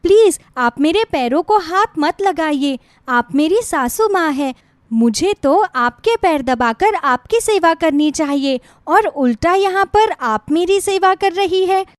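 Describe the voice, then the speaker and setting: A woman's voice: extremely high pitch, lively intonation, measured pace, no echo, pitched above the performer's own voice. A woman voicing a devoted, meek young daughter-in-law in a moral story, respectful and pleading.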